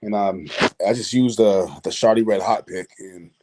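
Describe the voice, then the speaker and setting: A man's voice: lowish voice, steady tone, moderate pace, noisy background. A man in his thirties from Boston, chatting casually as a guest in informal, slangy speech. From Boston, United States. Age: 30s. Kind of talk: casual chat.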